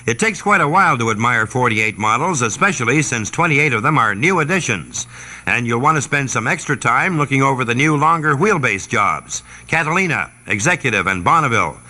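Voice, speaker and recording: A man delivering a 1960s radio car commercial as a conversational sales pitch. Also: lively intonation, brisk, sharp tone. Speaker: commercial announcer.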